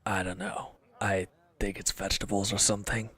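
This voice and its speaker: Low-voiced, sullen wounded villain played by a man. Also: little pitch movement, a little fast, sharp tone, slightly rough. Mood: sullen, evasive. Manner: curt, evasive, grudging, sighing. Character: sullen, defensive wounded male villain, bitter and withdrawn. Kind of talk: scripted audio roleplay acting.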